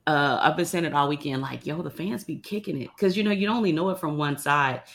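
A young woman hosting a casual medical podcast, chatting in a relaxed way with plenty of slang and fillers, her voice a little raspy from the early morning. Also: sits low, fairly quick. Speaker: podcast host. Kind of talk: casual chat.

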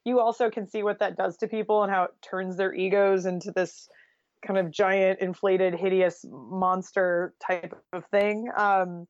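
A woman's voice: mid-range voice, fairly even intonation, unhurried, no echo. American woman on a dull, lo-fi recording. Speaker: journalist and author, podcast guest. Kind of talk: casual conversational interview. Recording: dull, lo-fi sound.

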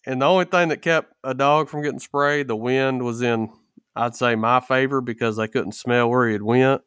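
A man's voice: mid-range voice, steady tone, normal speed, fairly bright tone, clear, resonant tone, no echo, clean studio sound. A man in a casual podcast chat, telling stories with folksy, rural phrasing. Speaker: podcast co-host.

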